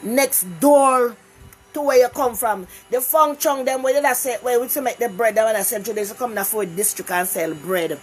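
A woman's voice: a little high, normal speed, breathy edge, faint music behind. An older Jamaican woman hosting a casual live-stream talk show, chatting animatedly with viewers from her living room and repeating herself for emphasis. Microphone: phone camera close up.

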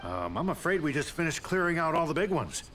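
An actor voicing a nervous warehouse clerk in a video game, talking in a flustered, anxious, over-eager way, with music playing behind. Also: male voice, on the high side, very animated, brisk, pushed and bright, a little hiss or hum. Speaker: game character.

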